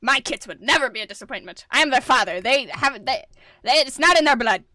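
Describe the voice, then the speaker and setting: A woman's voice: high-pitched, lively intonation, fast talker, sharp tone, no echo. A woman voicing an angry male cat deputy who boasts about his kits in a roleplay game. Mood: proud.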